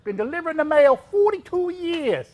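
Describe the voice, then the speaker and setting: A man's voice: extremely high pitch, sing-song, brisk. A man acting as a genial older mailman in a stage comedy. He talks in a folksy, plain-spoken way. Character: Ray, genial older mailman of long service. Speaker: stage actor. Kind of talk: staged reading of a period comedy play.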